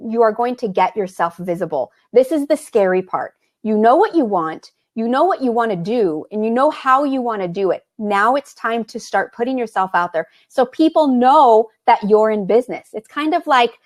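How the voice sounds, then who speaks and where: A woman business coach hosting a live online Q&A, talking fast and encouragingly while fighting a cold. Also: a little high.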